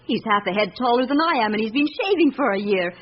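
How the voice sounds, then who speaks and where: An actress playing a candid but guarded young household employee in a radio drama, measured and matter-of-fact. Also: female voice, on the high side, expressive. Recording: muffled, faintly noisy radio recording.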